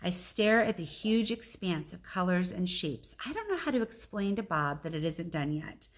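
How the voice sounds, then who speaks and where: A woman with a slightly low voice narrates a children's storybook as a teacher would. She shifts between measured reading and casual explanatory asides to her listeners. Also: very animated.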